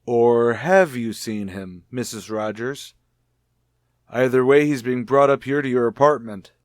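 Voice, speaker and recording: An actor playing a calm, persistent police lieutenant in a radio detective drama, formal and politely insistent. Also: male voice, mid-range voice, measured pace, dry recording, clean studio sound, natural voice. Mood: politely probing. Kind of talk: old-time radio detective drama acting.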